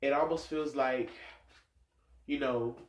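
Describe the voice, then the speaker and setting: A man's voice: mid-range voice, fairly even intonation, takes it slowly. A man with a stuffed-up nose giving a casual tarot reading, musing aloud and rambling with many fillers. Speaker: tarot reader. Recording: echoey room sound.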